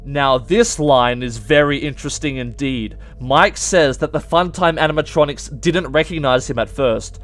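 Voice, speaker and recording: A man's voice: mid-range voice, normal speed, few pauses, fairly bright tone, soft background music. A man hosting informal explanatory video game commentary, emphatic and stretching key words as he goes. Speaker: video game theory host.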